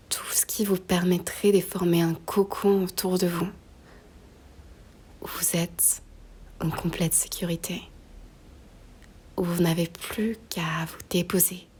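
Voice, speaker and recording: A woman guiding a slow meditation in a soft, breathy near-whisper, gently giving unhurried instructions with long pauses. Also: lowish voice. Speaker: yoga nidra guide.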